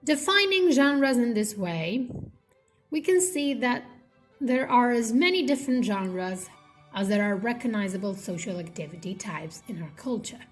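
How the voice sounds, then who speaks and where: A woman reading an academic textbook aloud as scripted narration, with measured, deliberate phrasing in short segments. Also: a little high, animated, soft background music, rough recording.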